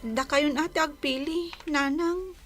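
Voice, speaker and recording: A woman acting a reluctant young bride-to-be with an Ilocano accent in a Filipino radio comedy drama, plaintive and drawn-out. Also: high voice, steady tone, fairly bright tone, no room sound, soft background music. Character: young woman being pushed into an unwanted marriage, reluctant.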